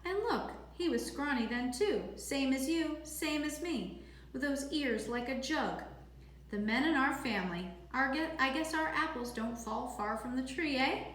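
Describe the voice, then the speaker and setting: A woman reading a storybook, voicing a gruff, brusque old grandfather with a blunt, clipped manner. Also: extremely high pitch, measured pace, fairly bright tone, pitched above the performer's own voice. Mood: musing. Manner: clipped, blunt, matter-of-fact. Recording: echoey room with faint noise.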